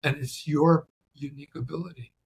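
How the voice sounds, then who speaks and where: A middle-aged Canadian-born man with a slight Ukrainian accent, answering interview questions in a measured way, often pausing with fillers. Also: on the high side, fairly even intonation, vocal fry, darkish tone, breathy edge. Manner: measured, explains by analogy with frequent fillers. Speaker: guest, writer and journalist.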